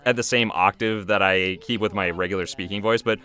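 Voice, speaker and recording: A man's voice: fairly low pitch, steady tone, a little fast, bright, forceful tone, clean tone. A man who creates and voices videos, giving informal, musing behind-the-scenes commentary in a casual way.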